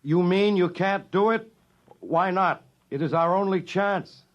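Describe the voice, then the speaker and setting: Earnest male space captain under strain, slightly high voice, old radio drama, dull vintage sound. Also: unhurried, heavy background noise. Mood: urgent, pleading.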